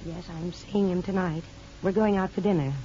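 A dull old radio recording with light noise carries an actress as a young nurse. She is earnest and evasive, with a mellow, gentle tone. Her delivery is hesitant and pleading, in classic 1950s radio drama manner. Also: fairly low pitch, steady tone, moderate pace, close to an everyday voice. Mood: guarded, uneasy. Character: anxious young nurse hiding a past, evasive, affectionate.

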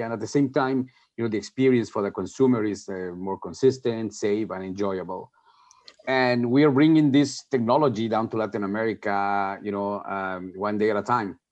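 A man from Mexico, a company executive answering interview questions in a halting way, with frequent restarts and fillers. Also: lowish voice, darkish tone.

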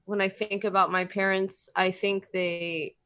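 A woman's voice: medium pitch, level tone, unhurried, pushed and bright. A woman chatting casually, rambling with lots of filler words. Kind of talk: casual conversation.

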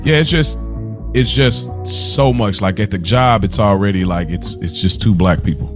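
A man's voice: on the low side, normal speed, music in the background. A man co-hosting a podcast, talking in a casual, earnest way and often repeating himself. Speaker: podcast co-host. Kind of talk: casual chat.

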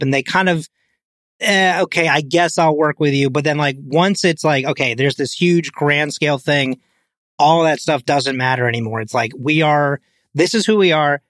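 A man's voice: a little high, moderate pace, fairly bright tone, dry recording, clean studio sound. Casual man chatting on a podcast. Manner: informal, hedging with frequent "like". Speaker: podcast co-host. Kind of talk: casual film discussion.

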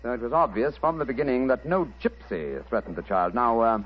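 An actor playing a calm, cryptic middle-aged detective in a 1940s radio drama, measured and matter-of-fact with a teasing edge. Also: male voice, medium pitch. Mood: calm, wry. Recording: muffled old radio broadcast.

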